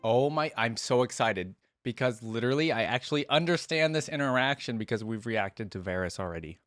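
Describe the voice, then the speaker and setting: A man hosting a reaction video, reading aloud and commenting casually in a chatty, expressive way. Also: mid-range voice, fairly quick, no echo, studio quality.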